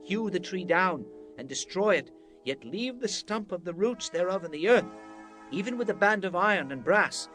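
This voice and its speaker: An actor playing an earnest, respectful prophet in a dramatized scripture reading, speaking with solemn, deferential oratory. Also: male voice, sits high, animated, moderate pace, a bit bright, soft background music. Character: earnest, respectful prophet counseling his king.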